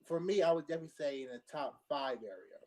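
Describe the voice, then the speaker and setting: A man's voice: a little high, animated, quick pace, dark tone. A man giving his opinion in a casual panel discussion, diplomatic and a little hesitant.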